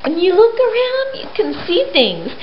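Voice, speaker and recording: A woman's voice: extremely high pitch, huge pitch swings, normal speed. A woman retelling a Bible story to young children, speaking simply and emphatically and putting questions to them. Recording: roomy, dull lo-fi sound with hiss.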